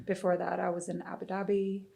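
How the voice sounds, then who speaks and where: A woman's voice: lowish voice, level tone, brisk, gravelly, dark tone. A woman from Sweden chatting casually as a podcast guest and fitness coach. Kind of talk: casual chat.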